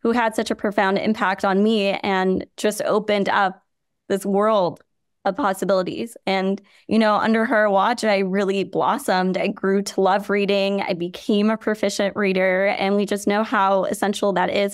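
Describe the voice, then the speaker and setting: A woman's voice: medium pitch, level tone, moderate pace, no echo, studio quality. A woman from Chicago who grew up speaking Hindi and Gujarati, answering earnestly as a nonprofit founder in a podcast interview, with frequent "you know" fillers. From Chicago, USA.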